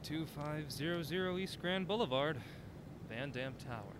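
A man playing a playful, excitable partner on a mystery hunt in a naturalistic audio drama, bantering and punchy. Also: a little high, normal speed, background noise, natural voice. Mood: calm, playful.

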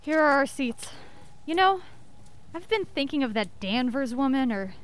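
A woman playing an excitable, resolute heroine on the run in an audio drama, earnest and urgent. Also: high-pitched, normal speed, slight background noise.

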